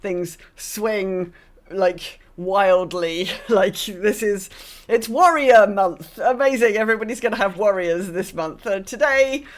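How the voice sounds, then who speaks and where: A woman podcast host in casual discussion. She has a middle-pitched voice and a wry way of talking, dropping sardonic asides as she thinks aloud. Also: slow. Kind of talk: casual discussion of a video game.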